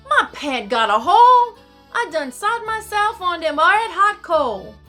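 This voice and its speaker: A woman's voice: very high voice, sing-song, unhurried, bright, forceful tone, soft background music, voice pushed up, full character voice. A woman voicing a jolly, blustery little old Cajun Saint Nick who hollers and exclaims in dialect, for a children's storybook. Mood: indignant.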